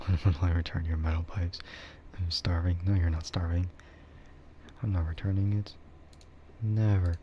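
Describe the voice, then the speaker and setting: A man chatting casually and soft-spoken with his livestream viewers, rambling in a relaxed way. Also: low-pitched, normal speed, lo-fi sound, slight background noise. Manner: relaxed, soft-spoken ASMR yapping, often chuckling. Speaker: streamer, VTuber host.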